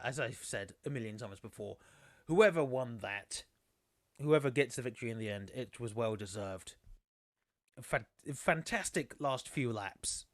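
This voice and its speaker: A man's voice: mid-range voice, a bit bright, no echo, clean studio sound. A man commentating a sim racing league on a live stream, chatty and rambling, losing his voice. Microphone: desktop microphone.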